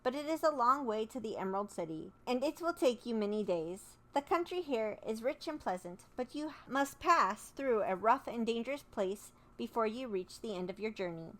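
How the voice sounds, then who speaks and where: A woman's voice: a little high, lively intonation, a bit bright. A woman voicing a grave little villager man. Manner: grave and measured. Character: grave small male villager. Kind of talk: storybook read-aloud.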